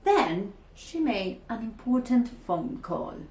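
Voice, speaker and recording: Woman doing a kids story read-aloud, slow and dramatic, really lively pitch, echoey room. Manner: expressive, dramatic, deliberate. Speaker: storybook reader.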